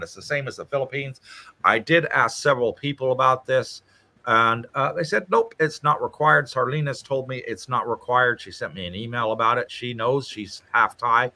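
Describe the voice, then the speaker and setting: A man's voice: medium pitch, pushed and bright. Chatty man hosting a livestream. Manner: chatty, reads out comments and answers them with asides. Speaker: livestream host answering viewer questions. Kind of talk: casual livestream chat. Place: home in Thailand.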